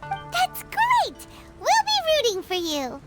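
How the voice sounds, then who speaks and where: A woman voicing a small, childlike, excitable sidekick companion in a video game. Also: very high-pitched, slow pace, loud music behind the voice, exaggerated voice. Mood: excited, cheering. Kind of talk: video game character voice acting.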